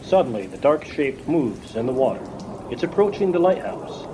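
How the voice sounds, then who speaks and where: Matter-of-fact narrator voiced by a man, mid-pitched, scripted storytelling for a student radio play, rain behind. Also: sing-song, moderate pace, noisy background, lightly acted.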